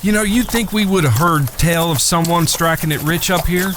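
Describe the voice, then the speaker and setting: An actor playing a wary, loyal younger outlaw brother in a Western audio drama, folksy and plain-spoken, with an American Southern accent. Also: male voice, on the high side, moderate pace, noisy background, natural voice. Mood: curious.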